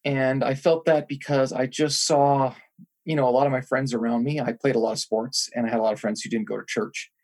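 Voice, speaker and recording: A man's voice: mid-range voice, fairly even intonation, brisk, a little mellow, poor audio. A man who is a pastor, guest on a podcast, talking earnestly and reflectively about his calling. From grew up in Thailand. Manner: earnest, reflective, with some restarts. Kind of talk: interview guest answering.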